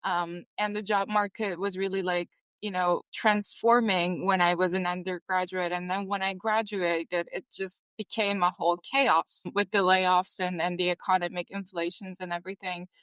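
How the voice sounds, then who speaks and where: A woman's voice: on the low side, little pitch movement, moderate pace, hardly any pauses, fairly bright tone, smooth, no echo, low-quality recording. A young woman from Turkey, a guest on a podcast, speaking earnestly with many fillers. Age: young adult. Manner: earnest, filler-heavy with "like" and "you know". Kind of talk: casual interview.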